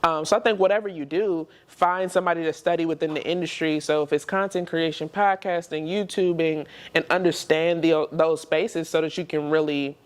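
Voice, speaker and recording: A woman's voice: deep voice, fairly even intonation, moderate pace, hardly any pauses, clean tone. A woman in her thirties, a podcast guest and entrepreneur, talking through her experiences in a reflective, conversational way. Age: 30s. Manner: reflective, conversational, frequent "you know what I'm saying". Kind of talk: casual interview conversation.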